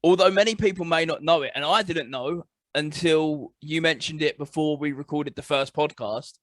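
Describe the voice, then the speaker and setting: A man's voice: a little high, moderate pace, fairly bright tone, no echo. A man with an English accent co-hosting a gaming podcast over a video call, enthusiastic and repeating phrases for emphasis. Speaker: podcast co-host. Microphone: remote call mic.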